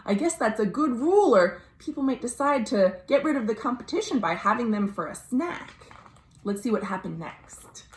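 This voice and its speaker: An American woman reading a children's story aloud, expressive and dramatic, pausing to explain things simply. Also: fairly high pitch. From United States. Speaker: librarian, storytime host. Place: at home. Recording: roomy, echoey home recording.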